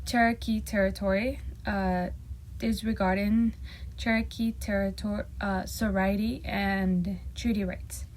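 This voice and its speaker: A woman's voice: medium pitch, a little mellow, a little hiss or hum, low-quality recording. Hesitant woman, Spanish her first language. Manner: hesitant, many ums and restarts. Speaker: student presenting a project. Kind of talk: nervous class presentation.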